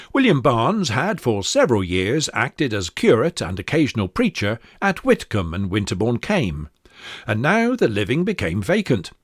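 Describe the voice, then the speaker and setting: A man's voice: medium pitch, no room sound, clean studio sound. A man reading scripted narration as he introduces poetry.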